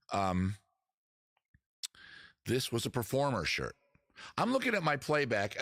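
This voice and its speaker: A man's voice: on the low side, no echo. Rambling man hosting a casual livestream, dry sound. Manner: rambling, self-deprecating, swears freely. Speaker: host of a live music and chat show. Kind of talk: casual livestream chat with viewers, some improvised singing. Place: at home in the backyard.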